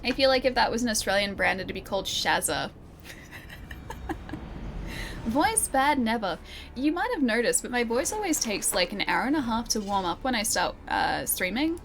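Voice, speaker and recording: A woman streamer chatting and laughing with her viewers as she plays a game, her voice a little croaky. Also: fairly high pitch, big pitch swings, normal speed, a bit bright, slight background noise. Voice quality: voice a bit croaky early in stream. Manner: chatty, giggly, talks to chat while playing. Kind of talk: live gameplay commentary. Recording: game soundtrack playing behind. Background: game music.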